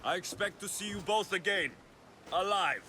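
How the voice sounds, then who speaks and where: An actor playing a fierce, loyal Greek mercenary hero who is grieving and set on vengeance, in a cinematic video game. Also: male voice, sits high, normal speed, pushed and bright, a little hiss or hum. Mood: solemn.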